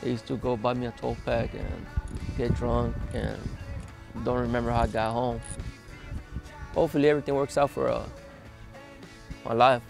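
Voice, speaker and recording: A young man in his twenties speaks outdoors in a quiet desert yard, his voice heavily gravelly and fried. He talks flatly with little rise and fall, stopping often on hesitant "uh"s as he answers. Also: mid-range voice, over music. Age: 20s. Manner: plain, halting, with many "uh" pauses. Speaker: interviewee. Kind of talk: documentary interview, recounting his past.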